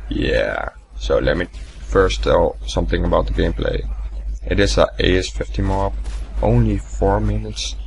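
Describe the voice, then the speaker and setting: A young man talks over his gameplay in a very low, gravelly voice, rambling loosely and swearing as he goes. A faint hum of noise sits under the recording. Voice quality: deep, gravelly morning voice. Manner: rambling, swearing, laughing at himself. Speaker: gaming commentator. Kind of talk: casual gameplay commentary.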